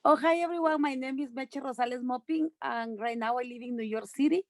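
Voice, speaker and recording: Higher-pitched woman from Guatemala. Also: a little fast, noisy background. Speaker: nanny. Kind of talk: casual video-call introduction. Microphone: video call.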